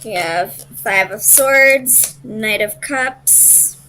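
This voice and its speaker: A woman's voice: a little high, very slow, bright, forceful tone, slight background noise, low-quality recording. Woman giving an informal tarot reading. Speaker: tarot reader and host.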